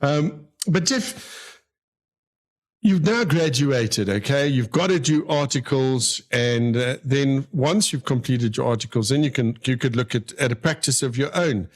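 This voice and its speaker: A man's voice: mid-range voice, measured pace. Male radio host, 50s, casual and rambling with lots of "uh"s, mid-range voice. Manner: rambling, many fillers and restarts. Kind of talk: casual interview chat.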